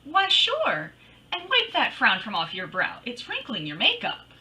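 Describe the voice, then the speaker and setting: A woman acting as a breezy female private eye from an old radio serial. The voice is very bright and forceful, pitched high, and swings widely up and down. The delivery is sassy and full of quips. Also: huge pitch swings, a little slow, exaggerated voice. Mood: teasing. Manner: sassy, quippy, slangy. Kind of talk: old-time radio detective drama acting.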